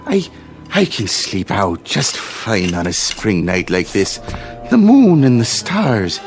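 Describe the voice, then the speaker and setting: An actor playing a drunken, cheerful vagrant in a radio drama, rambling and ingratiating. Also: male voice, on the low side, wildly swooping pitch, takes it slowly, faint music behind, full character voice. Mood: tipsy, wheedling.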